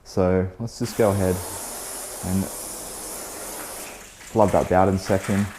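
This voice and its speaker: A man hosting a car detailing vlog, talking casually about a product test while losing his voice, a little hoarse, with plenty of "um" and "so yeah". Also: low voice, slow, noisy background. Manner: relaxed, chatty, frequent "um" and "so yeah". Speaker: car detailing channel host. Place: outdoors beside a test panel.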